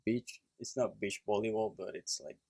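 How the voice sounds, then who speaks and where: Gravelly Puerto Rican man telling stories. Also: on the low side, normal speed, no room sound. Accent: Puerto Rican Spanish. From Puerto Rico. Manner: relaxed, plain storytelling with fillers. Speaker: chiropractor guest. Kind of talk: casual interview storytelling.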